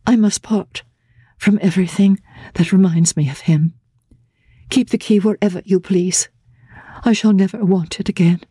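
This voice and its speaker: A woman voicing a young, wretched but resolute gentlewoman in a storybook, earnest and impassioned. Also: medium pitch, steady tone, moderate pace, pauses often, slightly rough, no room sound, studio quality, voice pushed up, natural voice. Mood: sorrowful, resigned. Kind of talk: dramatic audiobook character reading.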